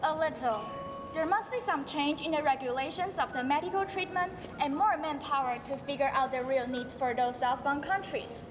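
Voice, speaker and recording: A woman's voice: sits high, moderate pace, noisy background, close to an everyday voice. A teenage girl with a Taiwanese accent playing an earnest young female doctor in a school stage play. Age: teens. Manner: earnest, explanatory. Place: large school auditorium stage. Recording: echoey hall with music behind.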